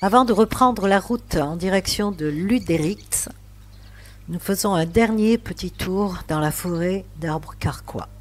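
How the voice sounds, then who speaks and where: A woman narrating her road trip in a travel vlog, unhurried and with hesitant fillers. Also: on the low side. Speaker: travel vlogger. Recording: faint music in background.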